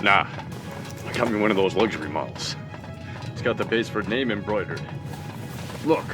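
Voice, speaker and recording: An actor playing a cocky, smug spaceship security guard in a comedic sci-fi audio drama. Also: male voice, fairly low pitch, lively intonation, normal speed, over music, natural voice.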